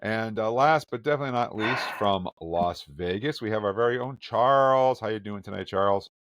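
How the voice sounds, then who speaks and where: A man's voice: lowish voice, moderate pace, a bit bright, a little hiss or hum. A man hosting a casual podcast, introducing his co-hosts with an upbeat, jokey manner. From Vermont. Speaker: podcast host.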